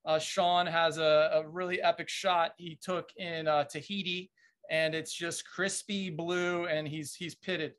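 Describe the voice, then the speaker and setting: A middle-aged man hosting a podcast from a room at home over a webcam. His voice is fairly high for a man, and he sounds keen, tripping over and repeating the first words of his sentences. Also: little pitch movement, measured pace, a bit bright. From Washington, D.C., USA. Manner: eager, stumbling, repeats words when starting sentences. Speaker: podcast host. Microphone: video call webcam.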